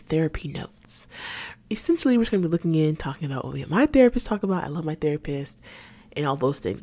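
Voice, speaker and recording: Young woman, 19, podcast host. Low-pitched, very lively intonation, candid and chatty. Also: gravelly. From Atlanta, Georgia. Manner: chatty and candid, quick laughs, trails off and restarts. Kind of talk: casual solo podcast chat.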